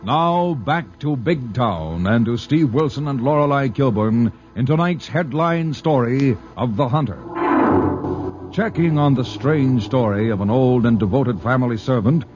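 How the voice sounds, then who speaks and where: A man's voice: medium pitch, a little slow, highs cut off. 1940s radio announcer man over music. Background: loud music.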